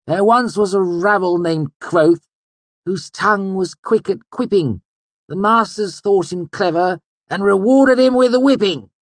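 Smug, high-pitched mocking young bully. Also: male voice, big pitch swings, brisk, few pauses, dark tone, slightly rough, dry recording, exaggerated voice. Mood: gleeful sing-song mockery. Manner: sneering, affected, sing-song showmanship.

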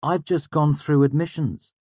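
A man's voice: on the high side, fairly even intonation, unhurried, darkish tone, breathy edge, dry recording, voice pushed up. A man voicing an eager, earnest young male student in a storybook, polite and a little hedging. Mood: easy, polite.

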